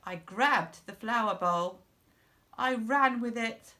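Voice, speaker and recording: A middle-aged woman reading a children's storybook aloud in a deliberate, expressive way for young listeners. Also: fairly high pitch. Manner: slow, deliberate, simple phrasing for young children. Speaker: school storyteller.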